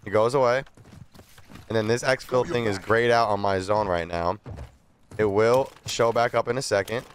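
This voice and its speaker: A man's voice: fairly low pitch, normal speed. Young male streamer over noisy game sound. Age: young adult. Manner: repetitive, slangy explaining. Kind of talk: casual gameplay commentary and tutorial. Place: small streaming room at a desk. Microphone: close desk microphone. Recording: game gunfire and vehicle noise behind. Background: video game gunfire and ambience.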